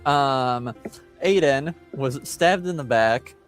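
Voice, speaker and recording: A man acting as game master, giving a casual recap of a tabletop game session in a halting way with frequent mid-sentence pauses. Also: mid-range voice. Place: remote online stream call. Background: music playing underneath.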